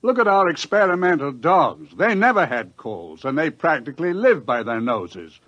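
An actor in an old radio drama playing an impatient, matter-of-fact scientist who explains things emphatically on a lo-fi recording. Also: male voice, on the high side, big pitch swings, normal speed, a bit bright. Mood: impatient, grimly certain. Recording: dull lo-fi old radio sound.

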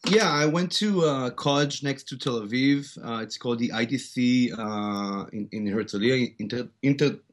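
A man's voice: medium pitch, normal speed, few pauses. Hesitant Israeli-accented man. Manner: hesitant, many fillers and restarts. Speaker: startup founder and guest. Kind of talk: interview conversation.

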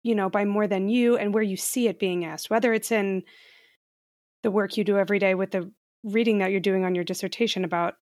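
A woman's voice: medium pitch, fairly even intonation, brisk, a little mellow, no echo, clean studio sound. A woman interviewer who forms her questions while asking them, searching for words.

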